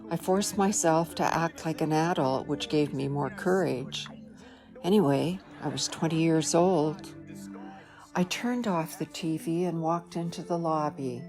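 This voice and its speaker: Woman reading an audiobook real slow, lowish voice, thoughtful. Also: music in the background. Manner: slow, measured, reflective. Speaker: audiobook narrator. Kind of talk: first-person audiobook narration.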